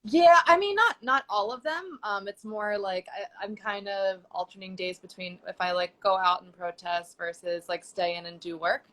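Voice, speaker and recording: A woman's voice: mid-range voice, moderate pace, few pauses, fairly bright tone. A young woman chatting casually as a guest on a live video call, talking loosely with many fillers. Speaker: guest sex educator. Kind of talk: casual chat on a live stream. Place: home.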